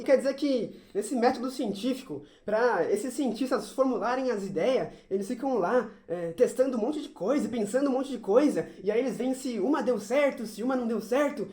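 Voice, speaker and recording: A woman playing a naive, excitable, scatterbrained male amateur sleuth who rambles and repeats himself in a comic audio drama. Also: a little high, close to an everyday voice. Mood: puzzled. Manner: rambling, repetitive, dramatic storytelling. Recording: roomy, echoey sound.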